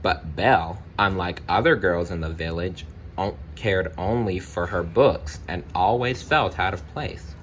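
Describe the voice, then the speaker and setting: Low-voiced, slow-paced man reading a storybook. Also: slight background noise. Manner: deliberate, expressive narration with brief personal asides. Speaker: storybook reader. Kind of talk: children's read-aloud storytelling.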